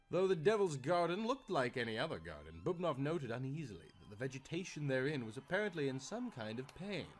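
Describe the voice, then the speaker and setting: Animated male storyteller over music. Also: medium pitch. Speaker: storyteller, narrator. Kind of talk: dramatic scripted story narration.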